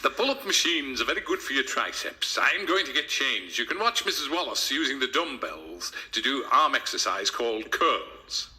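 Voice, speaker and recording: Man as woman fitness instructor, helpful, suggestive, lively pitch, comic storybook. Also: moderate pace, few pauses. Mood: helpful, coaxing.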